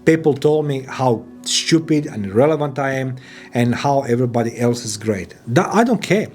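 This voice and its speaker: A man's voice: medium pitch, expressive, normal speed, a little mellow, faint music behind. A man from Croatia, giving an emphatic motivational talk in as a podcaster and business owner. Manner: emphatic, repetitive, non-native phrasing. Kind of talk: motivational monologue.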